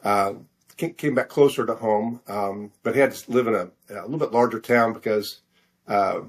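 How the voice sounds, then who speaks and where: An older American man from Missouri chatting casually as an interview guest, halting and often correcting himself as he rambles. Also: lowish voice. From Missouri, United States. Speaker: guest, fiction author and physician.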